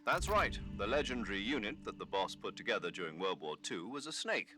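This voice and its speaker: An actor playing a commanding army major giving a mission briefing in a video game, crisp, formal and emphatic. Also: male voice, lowish voice, sing-song, a little fast, bright, forceful tone, soft background music. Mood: hearty, rousing. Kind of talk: video game cutscene acting.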